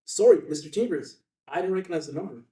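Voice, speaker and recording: An actor playing an eager, awkward young office assistant in a table read, hesitant and rambling. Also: male voice, on the high side, fast, dark tone, natural voice. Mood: nervous, eager. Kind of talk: filmed screenplay table read. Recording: echoey room, distant mic.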